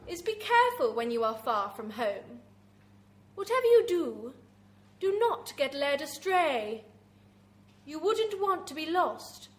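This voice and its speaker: A woman playing a teasing, sinister hostess of a classic horror radio show, addressing listeners theatrically. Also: high voice.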